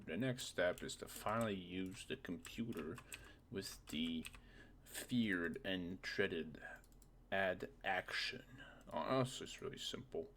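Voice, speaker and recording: A man presenting a casual software tutorial, thinking aloud in an informal way with a gravelly voice. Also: sits low, a little hiss or hum.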